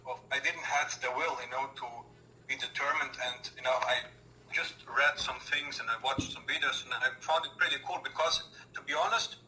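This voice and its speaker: Earnest young man from Austria, bright tinny call. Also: mid-range voice, fairly even intonation, a little fast, a little hiss or hum. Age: 20s. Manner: earnest, repeats words, slightly non-native phrasing. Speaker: podcast guest. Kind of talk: casual interview chat. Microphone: remote call. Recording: roomy, tinny call audio.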